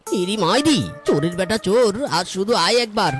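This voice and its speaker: A woman voicing a blustering, excitable male fox husband in a cartoon, exclaiming and lamenting in an exaggerated way. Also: mid-range voice, normal speed, over music, close to an everyday voice. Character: blustering, hard-luck husband fox, excitable fish farmer.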